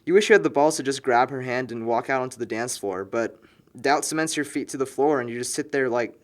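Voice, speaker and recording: Casting: male actor as wry, chatty young guy, slangy best-friend type, emphatic storyteller, mid-pitched, film drama. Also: a little fast, natural voice. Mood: wistful. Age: young adult. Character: wry, talkative young man confiding in a friend. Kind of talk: naturalistic buddy dialogue.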